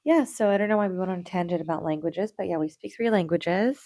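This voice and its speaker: A young woman co-hosting a podcast, her voice a little low with a gravelly, fry-tinged texture. She talks in a chatty, storytelling way, speeding up when she gets excited. The sound is close and dry. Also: quick pace, no room sound. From Canada. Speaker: podcast co-host. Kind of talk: casual chat.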